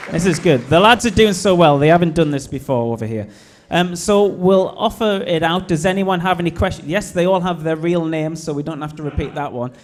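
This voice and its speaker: A man's voice: a little high, fairly quick, hardly any pauses, slight background noise. A man hosting a live stage Q&A with footballers, jokey and teasing. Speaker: event host.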